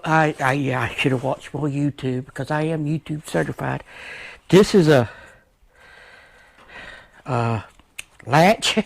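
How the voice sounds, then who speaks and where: Folksy American man, gravelly and higher-pitched. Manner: folksy, rambling, muttering to himself. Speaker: DIY motorcycle vlogger. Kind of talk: casual unscripted how-to vlog chat. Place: shed workshop. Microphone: action camera. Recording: noisy action-camera audio with clicks.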